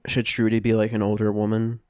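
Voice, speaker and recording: A young adult podcast co-host chatting casually and improvising with friends, informal and quick to swear. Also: medium pitch, monotone, darkish tone, dry recording. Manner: profane, informal.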